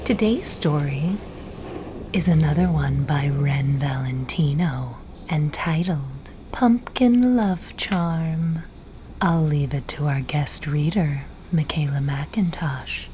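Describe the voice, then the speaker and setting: A woman host introducing a story reading in a soft, half-whispered manner. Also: sits low, slow pace, slight background noise.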